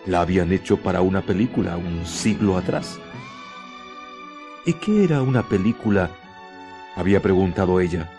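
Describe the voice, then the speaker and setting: A man narrating a radio drama in a measured, suspenseful manner, with deliberate pauses between short phrases. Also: on the low side. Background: music.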